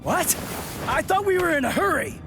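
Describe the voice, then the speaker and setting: An actor playing an earnest, hot-headed teenage sword fighter in an anime game, speaking with intense emphasis. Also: male voice, extremely high pitch, big pitch swings, normal speed, music in the background, full character voice. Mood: confused. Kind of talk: English anime game voice acting.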